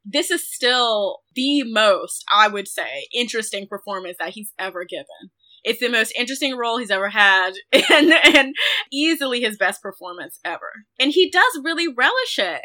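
Bright, higher-pitched woman podcast co-host. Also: very animated, normal speed. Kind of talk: casual, conversational film discussion.

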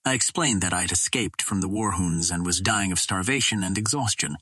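A man with a low, husky voice reads a pulp adventure tale. His delivery is deliberate and formal, with a storyteller's sense of drama. The sound is dry and close. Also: pushed and bright, no room sound. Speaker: audiobook narrator. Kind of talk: dramatic scripted narration of a pulp adventure novel.

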